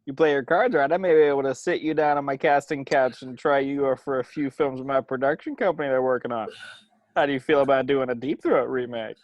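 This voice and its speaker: A man's voice: mid-range voice, fairly even intonation, fast, no room sound, natural voice. A man playing a cocky, smarmy movie producer with a crude manner in an amateur screenplay reading. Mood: smarmy, flirtatious. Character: sleazy, cocky male Hollywood producer.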